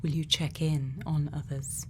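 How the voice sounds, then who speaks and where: A woman doing an ASMR roleplay as a gentle scientist, speaking in a breathy whisper and soft murmur, slow and deliberate with long pauses. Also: low voice, flat delivery, slight background noise. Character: gentle scientist questioning a robot friend. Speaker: ASMR performer.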